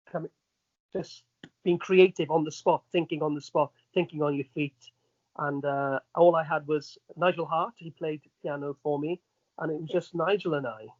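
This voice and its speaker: Welsh guy, middle aged, highish voice, chatty entertainer telling stories, bit muffled audio. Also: dry recording. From Wales. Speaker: entertainer, interview guest. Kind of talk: casual anecdotal chat.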